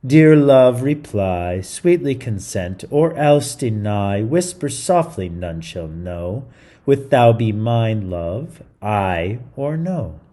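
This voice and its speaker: A man's voice: on the low side, very animated, slow, warm tone. A man reading a story aloud to children in a theatrical, playful style, with chatty asides. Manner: theatrical, playful, interjecting comments to young listeners.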